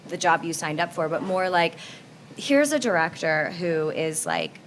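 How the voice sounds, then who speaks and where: A woman's voice: lowish voice, expressive, unhurried. A woman panelist making her point informally and emphatically in a casual group discussion. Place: festival roundtable, live event. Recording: noisy room ambience.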